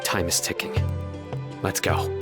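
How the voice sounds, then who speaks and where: An actor playing a stern, principled officer of justice in a video game, speaking in a grave, measured way. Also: male voice, natural voice. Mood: solemn, resolute. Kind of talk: scripted video game dialogue. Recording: game music clearly behind the voice.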